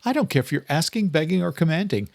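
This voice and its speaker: A man's voice: a little high, very animated, fast talker, creaky, darkish tone, no room sound, clean studio sound, voice pushed up. A man voicing a determined, strong-willed woman in an audiobook thriller. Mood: defiant. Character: defiant, determined adult sister, a schoolteacher.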